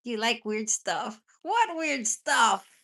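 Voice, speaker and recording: A woman with a stuffy nose talks loosely to her live chat, hopping from thought to thought and dropping the odd swear. Her voice sits a little high and rings bright and forceful. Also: lively intonation, slow pace, creaky. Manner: rambling, laughs often, swears casually. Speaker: livestream host chatting with viewers.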